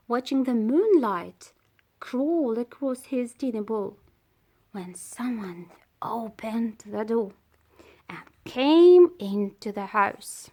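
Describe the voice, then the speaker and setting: A woman reads a children's storybook aloud in a fairly high voice. Her pace is extremely slow and careful, with pauses between short phrases. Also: a little mellow. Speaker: storybook reader.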